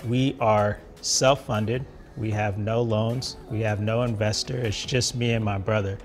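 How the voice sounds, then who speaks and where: A man's voice: fairly low pitch, steady tone, measured pace. A man in his thirties, a company co-founder, explaining a product earnestly and conversationally in a promotional interview. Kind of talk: casual promotional interview talk. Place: large open office, indoors. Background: background music.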